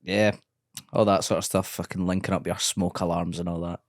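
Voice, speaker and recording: A man with a Scottish accent co-hosting a podcast, relaxed and swearing casually. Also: deep voice, a little fast, no echo, clean studio sound. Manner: laid-back, swears casually. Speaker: podcast co-host. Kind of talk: casual banter.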